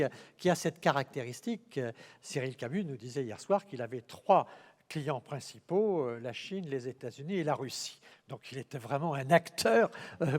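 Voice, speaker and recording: Guy moderating a panel, mid voice, lots of echo from the big hall, stumbles and repeats words. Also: mid-range voice. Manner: halting, repeats words, rising emphasis. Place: large conference hall. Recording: reverberant conference hall.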